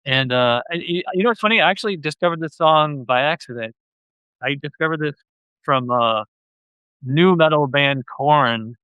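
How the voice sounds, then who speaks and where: A man's voice: on the high side, moderate pace, clear, resonant tone, dry recording, dull audio. A man hosting a podcast, chatting casually about music and often searching for words. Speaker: podcast host.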